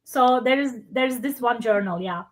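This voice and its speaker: High-pitched woman on a video call, stops and restarts a lot, searches for words. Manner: halting, searching for words in a second language. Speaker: workshop presenter. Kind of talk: answering questions in an online Q&A.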